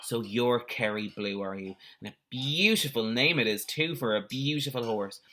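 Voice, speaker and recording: Man as a bright, earnest, brave farm boy. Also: mid-range voice, expressive, moderate pace. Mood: soothing. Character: brave, kind farm boy who soothes animals, storybook hero.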